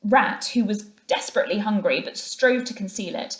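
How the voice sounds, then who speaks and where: A woman reads a children's book aloud in the manner of a schoolteacher. Her medium-pitched voice carries expressive storybook narration. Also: normal speed, roomy sound, rough recording. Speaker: teacher reading to a class.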